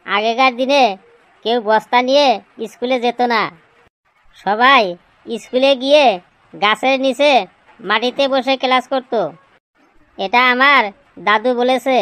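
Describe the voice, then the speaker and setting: A woman's voice: high voice, a little slow, long pauses, fairly bright tone, smooth, poor audio. A woman voicing cheeky schoolboys in a comedy cartoon, complaining and pleading in an exaggerated way. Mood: curious, teasing. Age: child figure. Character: cheeky village schoolboys grumbling and questioning teacher.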